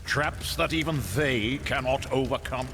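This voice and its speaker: Man playing game supervillain, mid-pitched, imperious, formal, ominous, clear background noise. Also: steady tone, measured pace, close to an everyday voice. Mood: calculating. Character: cold, imperious male supervillain mastermind. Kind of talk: video game villain acting.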